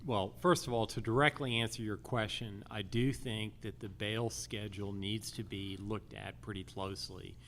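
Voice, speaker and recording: Over faint background noise, a man with a fairly low voice answers as a candidate at a public forum. He speaks formally and addresses the question directly. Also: steady tone, moderate pace, hardly any pauses, a bit bright.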